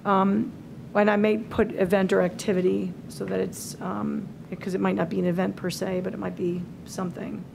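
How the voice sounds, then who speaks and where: A woman's voice: medium pitch, flat delivery, moderate pace, gravelly, background noise. Woman leading a town meeting. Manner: thinking aloud with many fillers and restarts. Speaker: committee leader presenting a scoring rubric. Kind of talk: public meeting presentation. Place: town hall auditorium. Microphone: table microphone.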